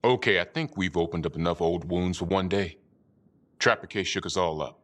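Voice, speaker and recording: An actor playing a patient, authoritative police sergeant in a crime drama game, controlled, firm and placating. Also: male voice, sits low, moderate pace, fairly bright tone.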